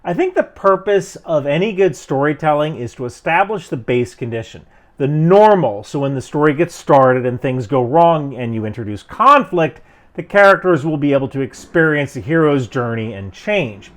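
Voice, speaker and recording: Animated American man, higher-pitched voice. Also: normal speed. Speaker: video host, military veteran. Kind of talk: explanatory commentary to camera.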